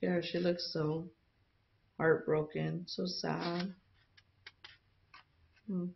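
A woman teacher reading a picture book aloud to young students in an expressive storytelling style. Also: fairly low pitch, very slow, gravelly, dull audio, slight background noise.

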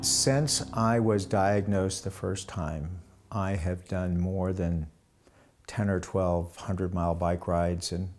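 An older man, a patient, speaking in an emotional, halting way in a documentary interview. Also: sits low, fairly even intonation, darkish tone, soft background music.

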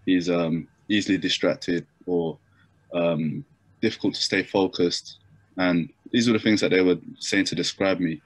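Young man, low voice, hesitant. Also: dry recording, low-quality recording. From Japan. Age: young adult. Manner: hesitant, many fillers and restarts. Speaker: interview guest. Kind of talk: casual video-call interview. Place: bedroom at home. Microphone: laptop webcam mic on video call.

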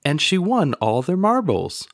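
A man narrating a children's picture book aloud in an expressive storytelling manner. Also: on the high side, measured pace, no room sound.

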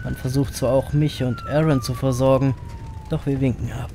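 An actor playing a weary, grim ferryman who narrates his own story in an audio drama, brooding and measured. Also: mid-range voice, sing-song, background noise, lightly acted. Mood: tense, narrating.

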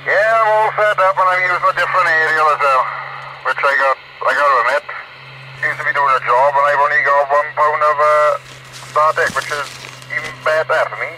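A man's voice: a little high, fairly even intonation, a little fast, pushed and bright. A man chatting casually as a CB radio operator, his voice coming through a radio transmission. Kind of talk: casual chat. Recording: noisy CB radio link.

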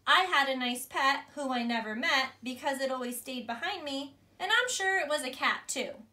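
Woman reading as an eager, high-pitched little schoolgirl. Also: expressive, normal speed, bright, forceful tone. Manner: eager, imitative.

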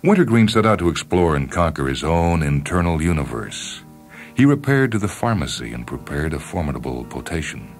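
A man reading a short story as scripted radio narration, deliberate and wry, with theatrical phrasing and dramatic pauses. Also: very deep voice, sing-song. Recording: music bed under narration. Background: background music with guitar.

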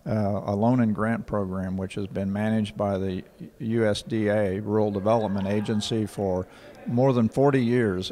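An older man, answering interview questions in a measured, factual way with frequent pauses. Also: on the low side, darkish tone. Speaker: rural water association director. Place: indoor office in front of a painting. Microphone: handheld station mic.

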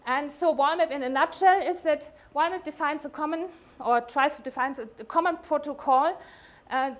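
A middle-aged woman giving a scientific conference presentation, with a hesitant, halting delivery and frequent self-corrections. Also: high-pitched. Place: indoor workshop meeting room.

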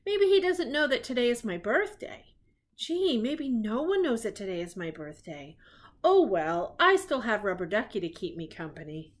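Woman reading as young puppet boy, earnest, friendly, a little worried, lively pitch, storybook character. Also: moderate pace. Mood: glum, musing. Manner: earnest, musing, gee-whiz.

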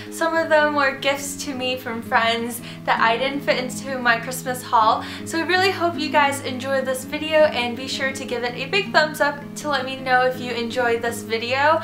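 A young woman in her twenties with a cold and a congested voice, chatting bubbly and enthusiastic in a casual fashion haul vlog from her bedroom. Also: high-pitched. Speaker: fashion vlogger. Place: bedroom, indoors. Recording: roomy echo with background music.

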